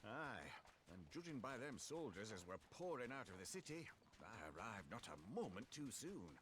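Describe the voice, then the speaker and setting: A man's voice: medium pitch, very animated, moderate pace, a bit bright, slight background noise. A man playing a chatty, jovial rustic wagon driver in a fantasy video game, with cheery colloquial speech. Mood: chatty storytelling. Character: chatty, folksy male wagon driver, jovial rogue helper. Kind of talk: scripted game voice acting.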